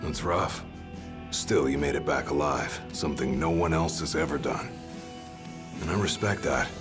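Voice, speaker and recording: A man playing a gruff, determined young bodyguard in a video game, blunt and informal. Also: extremely low pitch, normal speed. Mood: respectful. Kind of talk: video game cutscene acting. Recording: music behind the voice.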